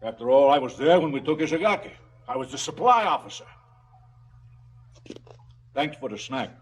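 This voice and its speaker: An actor playing a callous, blustering male crime boss in a stylized radio drama, slangy and falsely fatherly with a husky voice. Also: on the high side, lively intonation, normal speed. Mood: mock-generous.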